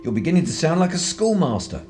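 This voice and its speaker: A man's voice: fairly high pitch, very animated, fast, darkish tone, faint music behind. A man playing a cocky, scheming spy in a radio drama, with arch, wordy, old-fashioned banter. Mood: sly scheming. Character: cocky, scheming young-at-heart spy, calculating and theatrical.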